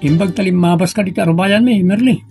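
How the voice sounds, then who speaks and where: A man's voice: sits high, brisk, over loud music. An actor with a northern Philippine accent playing a suspicious, gossiping man in an Ilocano comedy drama. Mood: uneasy, confiding. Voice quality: husky, creaky. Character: suspicious, gossiping man uneasy about friend's father. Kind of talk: Ilocano comedy radio-style drama acting.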